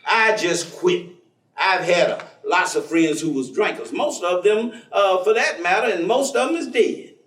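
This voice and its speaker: An actor playing a folksy, devout former drinker in a staged reading, playful and persistent, telling stories in colloquial speech with theatrical exclamations. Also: male voice, high voice, lively intonation, unhurried, fairly bright tone. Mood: storytelling. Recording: echoey stage, distant microphone.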